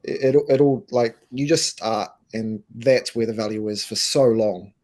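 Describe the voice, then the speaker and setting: A croaky-voiced man from New Zealand, a company co-founder, talking emphatically and casually with many restarts. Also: mid-range voice, measured pace, a little mellow. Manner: emphatic, repeats words, restarts. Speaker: co-founder and co-CEO, guest. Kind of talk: casual interview answers.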